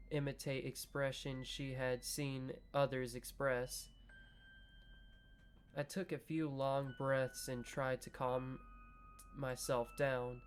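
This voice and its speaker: Slow-reading young man playing a game. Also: mid-range voice. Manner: slow, measured reading broken by joking asides. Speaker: gaming video creator. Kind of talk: reading a visual novel aloud with casual commentary.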